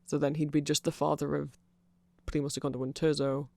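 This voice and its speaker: A nerdy vampire shopkeeper, acted out for an ASMR roleplay, chatting casually to a friend. The voice has plenty of fry and sits in a very dry recording. The delivery rambles, hesitant yet excitable, with an apologetic streak. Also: mid-range voice, a little fast, no room sound, close to an everyday voice. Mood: earnest, rambling.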